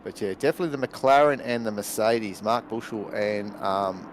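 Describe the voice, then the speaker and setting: Male co-commentator, low pitch, laid-back, audible noise under the voice. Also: moderate pace. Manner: relaxed, conversational, many fillers. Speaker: sim racing co-commentator. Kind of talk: live race commentary.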